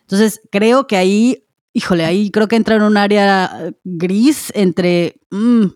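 A woman's voice: medium pitch, takes it slowly, a little mellow, no room sound, clean studio sound. A woman giving conversational commentary as a podcast co-host.

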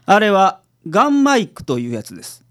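A man's voice: high-pitched, wildly swooping pitch, fairly quick, a bit bright, heavily acted. A man playing an unflappable, easygoing private detective with a Kansai accent, explaining things confidently in a radio drama. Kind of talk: community radio drama acting.

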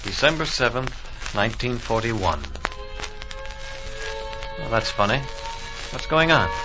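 An actor playing a young army private killed in war, plainspoken and reflective, in a 1940s radio drama. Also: male voice, medium pitch, lively intonation, a little slow, natural voice, poor audio. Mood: wistful, reflective. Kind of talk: 1940s radio drama acting, verse monologue. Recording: vintage 1940s radio, music behind.

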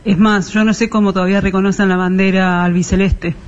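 A man's voice: sits high, level tone, a little fast, highs cut off. A man sending a voice message to a radio show, delivering a fervent nationalist rant. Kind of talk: casual voice message.

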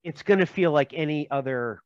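A man's voice: on the high side, a little fast, no echo, highs cut off. A man from Minnesota, a game designer, talking casually in an interview with many fillers and restarts and joking asides.

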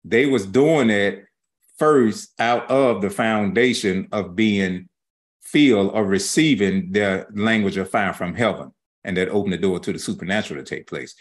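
A man giving an informal scripture teaching as a religious teacher, speaking in halting, folksy phrases with colloquial grammar. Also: on the low side.

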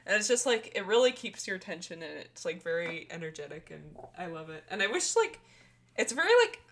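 A young woman co-hosts a casual movie podcast, chatty and quick to giggle, with "like" in nearly every sentence. Her voice is bright and forward, with a little tension in it. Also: fairly low pitch, sing-song, creaky, a little hiss or hum. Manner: giggly, chatty, says "like" a lot. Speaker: podcast co-host. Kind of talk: casual chat.

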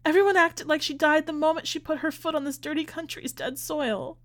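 A woman's voice: high-pitched, steady tone, brisk, pitched above the performer's own voice, close to an everyday voice. A woman voicing an anguished, furious immigrant mother in an audiobook, pleading and insistent through her grief. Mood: pleading, bitter. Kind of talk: dramatic audiobook character reading.

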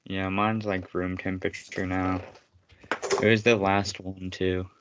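A man's voice: low voice, little pitch movement, unhurried, lo-fi sound, background noise. A man co-hosting a casual podcast, rambling and teasing his friend with frequent laughter. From United States. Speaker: podcast co-host. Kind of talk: casual chat.

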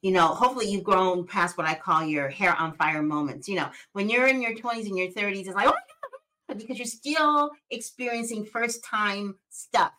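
Woman, 60s, podcast host; conversational and lively, mid-range voice. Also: medium pitch, normal speed, slight background noise, rough recording. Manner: conversational, lots of "you know", animated. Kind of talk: casual interview chat.

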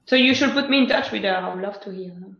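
A woman's voice: mid-range voice, fast, vocal fry, fairly bright tone. A woman guest on a video call from a laptop, speaking in short, direct questions and plain phrasing. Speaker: interview guest. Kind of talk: casual video call conversation. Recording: roomy, dull laptop call audio.

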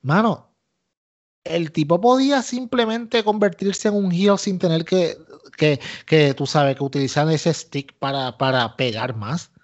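A Puerto Rican man with a fairly high voice, recorded in dull lo-fi audio. He co-hosts a podcast with emphatic, opinionated commentary, repeating himself for stress and slipping English phrases into casual Spanish. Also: measured pace. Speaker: wrestling podcast co-host.

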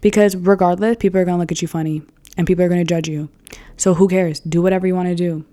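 A woman's voice: fairly low pitch, steady tone, fast, warm tone. A woman in her early twenties talking casually and frankly to her viewers, swearing freely and filling her talk with "like.". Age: 20s. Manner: chatty, frank and profane, lots of "like". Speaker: vlogger.

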